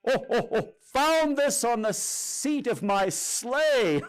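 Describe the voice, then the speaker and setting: Man putting on a slow, jolly storybook Santa. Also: very high-pitched, lively intonation, clear, resonant tone, pitched above the performer's own voice, full character voice. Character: jolly old Santa Claus, hearty and kindly. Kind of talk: children's storybook character voice.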